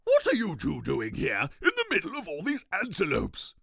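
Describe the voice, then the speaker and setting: Storybook weasel king: bombastic, daft, very high-pitched, loudly proclaiming, wildly swooping. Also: huge pitch swings, normal speed, gravelly, breathy edge, no room sound, clean studio sound, exaggerated voice. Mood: pompous, surprised. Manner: grandly proclaiming, loud. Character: pompous, bombastic toothbrush-wielding weasel king, excitable and daft.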